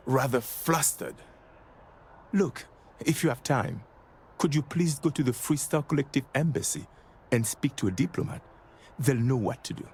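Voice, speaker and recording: Man playing flustered formal diplomat, exasperated, very lively intonation, mid pitch, video game, faint noise. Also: lightly acted. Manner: formal wording, exasperated, halting.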